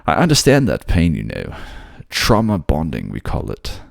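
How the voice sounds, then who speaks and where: A man's voice: rumbling low, huge pitch swings, moderate pace, gravelly, no room sound, clean studio sound, full character voice. A man playing a suave, manipulative fantasy ruler who coaxes his captive in an intimate ASMR audio drama. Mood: possessive coaxing. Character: suave manipulative male water-folk ruler, soothing captor.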